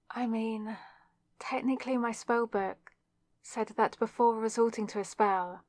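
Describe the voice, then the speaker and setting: Slow-talking, flustered young witch, acted by a woman. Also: fairly high pitch, dry recording, clean studio sound, close to an everyday voice. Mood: surprised, incredulous. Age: young adult. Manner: awkward, wry, self-deprecating. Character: flustered young student witch confessing a crush. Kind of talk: ASMR roleplay acting addressed to the listener. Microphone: close microphone in a dry space.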